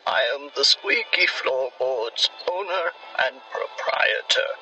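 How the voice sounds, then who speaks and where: A man's voice: medium pitch, animated, highs cut off. An actor playing a proud, pushy boarding house proprietor in an old-time radio drama, talking like a grandiose salesman. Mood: eager selling. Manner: grandiose, drawn-out salesman delivery. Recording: music behind the voice.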